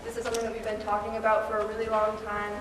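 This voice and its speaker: A teenage girl giving a school presentation, hesitant and pausing with frequent ums. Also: mid-range voice, completely flat delivery. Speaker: student presenter. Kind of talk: presentation to a board. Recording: echoey hall from far off, faint hiss.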